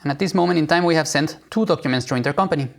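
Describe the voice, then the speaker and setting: A man presenting a live software demo, explaining it informally step by step. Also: on the high side, very fast, creaky.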